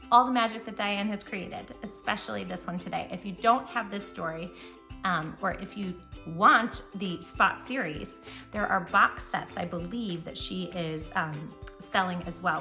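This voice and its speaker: A woman hosting a children's read-aloud, talking affectionately and expressively straight to the young listener. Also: mid-range voice. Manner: affectionate, addressing the child listener directly and intimately. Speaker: children's storytime host and read-aloud reader. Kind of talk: children's storytime talk and picture-book read-aloud.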